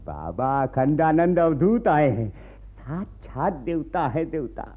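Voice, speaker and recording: An actor playing a poor, devout peasant husband in a radio play, earnest and simple, with a rural eastern Uttar Pradesh accent. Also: male voice, on the high side, very animated, slow. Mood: earnest, exasperated. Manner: deferential, rustic, earnest. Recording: muffled narrow-band radio recording.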